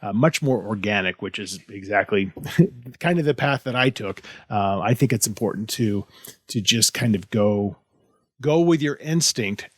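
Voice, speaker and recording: A man's voice: lowish voice, no room sound, studio quality. A man hosting a casual interview podcast, rambling with many restarts and fillers. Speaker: podcast host.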